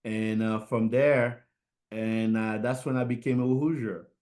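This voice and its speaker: A middle-aged man from Haiti talks slowly and unhurriedly in a relaxed interview. His speech halts often, full of fillers and restarts as he feels his way through answers. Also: medium pitch. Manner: halting, many fillers and restarts. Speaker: guest, fashion designer.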